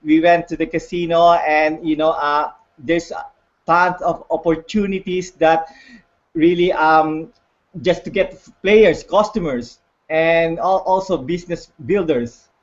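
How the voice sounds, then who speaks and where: A man's voice: a little high, fairly even intonation, highs cut off. A man with a Filipino accent who speaks English as a second language. He talks hesitantly on a video call, full of fillers and restarts. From Philippines. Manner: halting, many fillers and restarts. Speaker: guest on online call. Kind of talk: casual hesitant testimony.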